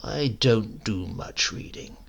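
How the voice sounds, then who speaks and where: A man voicing a sly, seedy uncle in his late fifties in a storybook reading, with a slow, insinuating, falsely genial manner. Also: on the low side, animated, vocal fry, fairly bright tone, slightly rough, exaggerated voice. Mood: casually sinister.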